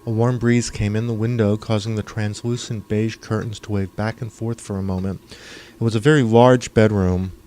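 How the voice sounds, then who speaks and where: A man's voice: lowish voice, a little mellow. A man reading his own scripted first-person fiction as an audiobook narrator.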